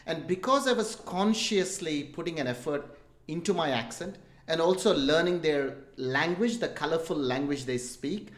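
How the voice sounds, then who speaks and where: A man's voice: on the high side, expressive, moderate pace. A middle-aged man from India with an Indian English accent touched by Australian, telling jokey, rambling stories as an interview guest. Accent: Indian English with Australian influence. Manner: rambling, self-deprecating, jokey storytelling. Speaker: guest entrepreneur. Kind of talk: casual interview talk. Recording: roomy echo.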